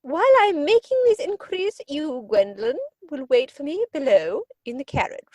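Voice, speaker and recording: A woman's voice: sits high, sing-song, moderate pace, a little mellow, no room sound. A woman playing a haughty, disapproving middle-aged aristocratic mother in a theatrical period comedy, pompous and commanding. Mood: indignant, commanding.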